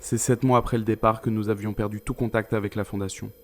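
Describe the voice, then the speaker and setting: A man playing a haunted space-mission scientist in an audio drama, narrating gravely and deliberately with weighted pauses. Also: on the low side, flat delivery, a little hiss or hum, close to an everyday voice. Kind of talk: scripted dramatic first-person narration.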